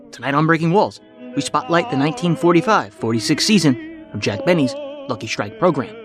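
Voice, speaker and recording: A man hosting a podcast with scripted documentary narration in a measured, clearly phrased announcer delivery. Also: a little high. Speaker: podcast host.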